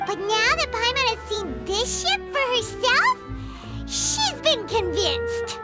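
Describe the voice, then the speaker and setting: A woman voicing a small childlike female fairy companion in a video game, squeaky, perky, curious and naive, with exclamatory delivery. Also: big pitch swings, takes it slowly, loud music behind the voice, full character voice. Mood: impressed. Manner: speaks of herself in the third person, exclamatory. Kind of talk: video game cutscene acting.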